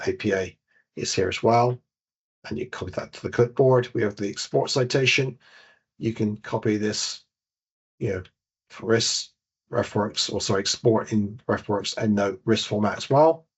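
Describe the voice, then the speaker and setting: A man's voice: on the low side, steady tone, moderate pace, slightly rough. British man walking through a database demo. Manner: explains steps while clicking through screens, with small self-corrections. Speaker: database trainer. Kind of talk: software demonstration webinar. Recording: dull lo-fi webinar audio.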